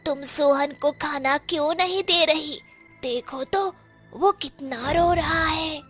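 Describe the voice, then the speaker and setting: A woman playing a kind, humble witch mother in a cartoon story, speaking in a gentle, concerned way. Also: sits high, slow pace, soft background music. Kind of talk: Hindi animated moral story acting.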